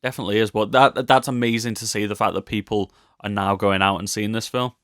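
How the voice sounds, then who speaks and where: A man's voice: lowish voice, level tone, fast, a bit bright, no room sound, studio quality. A British man co-hosting a podcast, chatting casually about film news with playful, joking asides. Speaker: podcast co-host.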